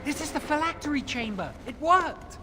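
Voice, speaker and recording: An earnest young initiate, lively pitch. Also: female voice, moderate pace, in a large hall, noisy background. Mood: urgent, hopeful. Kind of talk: video game voice acting. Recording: game music under the voice.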